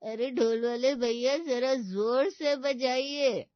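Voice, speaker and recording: A woman's voice: high-pitched, slow pace, no echo, lo-fi sound. A woman voicing a hospitable, eager older village uncle in a Hindi comedy cartoon. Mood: merry.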